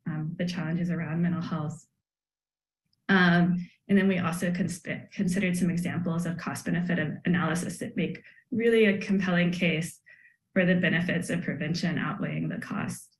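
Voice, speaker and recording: A woman economics professor giving a remote conference presentation over a video call, speaking in a measured way with frequent fillers. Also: fairly low pitch, echoey room, a little hiss or hum, low-quality recording.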